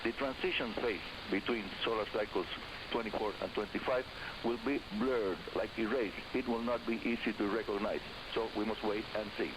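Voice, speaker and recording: A man with a Cuban accent, a native Spanish speaker, hosting a radio hobby program in a deliberate announcing style over a telephone line on shortwave radio. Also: on the low side. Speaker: radio host. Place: on a phone line linked to the studio. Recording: hissy, noisy shortwave broadcast, telephone-line audio.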